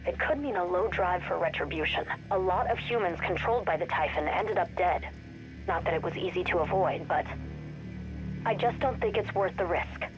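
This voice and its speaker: A woman playing an earnest crew member in a video game, giving testimony in a plain, deliberate way. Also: fairly low pitch, over music, lightly acted, low-quality recording.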